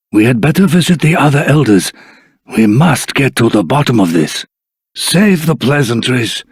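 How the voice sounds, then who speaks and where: An actor voicing a dignified elder of a dragon race in human form, speaking in a formal, courteous, earnest and husky voice for a fantasy video game. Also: male voice, mid-range voice, no room sound, clean studio sound, exaggerated voice. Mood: alarmed.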